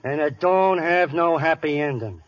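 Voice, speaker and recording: An actor playing a tough-talking male gangster with a husky voice and slangy, menacing speech in a 1930s radio play. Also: fairly high pitch, lively intonation, moderate pace, muffled recording, natural voice. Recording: scratchy 1930s radio broadcast.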